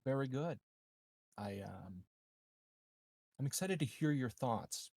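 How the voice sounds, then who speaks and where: A man acts out a smug politician for a tabletop roleplaying game. He is courteous and assured, answering briefly in a medium-pitched voice with a dry, close sound. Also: lively intonation, slow pace, a little mellow, dry recording.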